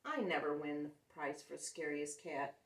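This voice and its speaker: A woman's voice: deep voice, measured pace, voice pushed down. Woman voicing an eager little boy cat, echoey. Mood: dejected. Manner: pleading, excitable. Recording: roomy echo, distant microphone.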